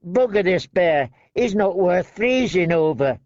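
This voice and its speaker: A man doing a high whiny nervous deserter. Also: expressive, measured pace, darkish tone, no room sound, dull audio. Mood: whining. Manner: complaining, sneering. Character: thin nervous whining male deserter.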